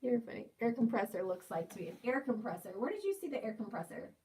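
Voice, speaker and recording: A woman's voice: fairly high pitch, big pitch swings, quick pace, dark tone, slight background noise. Playful young woman chatting on livestream. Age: 20s. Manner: playful, teasing, jokey asides. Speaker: livestream host. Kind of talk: casual livestream chat reading comments.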